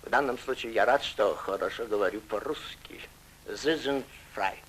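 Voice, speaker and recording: An actor playing a courteous, scheming German officer who talks politely and smoothly in a film. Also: male voice, fairly low pitch, normal speed, a bit bright, slight background noise, rough recording. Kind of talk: film acting.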